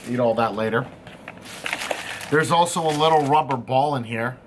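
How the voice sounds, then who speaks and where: A man hosts a casual candy-tasting chat in a studio room. He talks in an animated way, tells stories and swears now and then. His voice sits in a mid range over a faint background hum. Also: mid-range voice, moderate pace. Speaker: host. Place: studio room with equipment racks.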